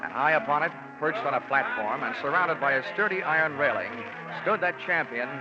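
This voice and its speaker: An actor playing a wry, hard-boiled insurance investigator in 1940s radio style, with deadpan quips. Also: male voice, on the high side, a little fast, music in the background, close to an everyday voice. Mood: dramatic narration. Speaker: lead actor and narrator. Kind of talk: 1940s radio detective acting. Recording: noisy, muffled 1940s radio recording.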